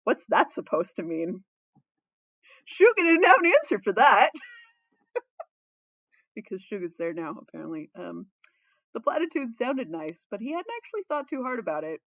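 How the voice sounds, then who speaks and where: A woman narrating a story in an expressive, playful, informal way, like an amateur reading fan fiction. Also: on the high side, moderate pace, pauses often, no echo, lo-fi sound. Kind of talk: storybook narration with casual asides.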